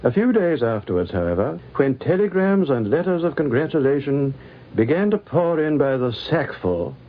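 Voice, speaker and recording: A man narrates in a formal, literary style with a measured delivery and a mid-range voice. The recording is thin and phone-like, like an old 1950s radio broadcast. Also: medium pitch, big pitch swings.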